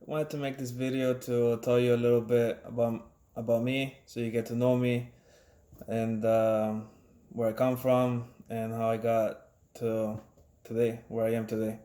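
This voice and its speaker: A man in his thirties from Ecuador, a mechanic, introducing himself casually with many pauses and restarts. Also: mid-range voice, echoey room. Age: 30s. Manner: relaxed, halting, many fillers and restarts. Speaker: auto mechanic.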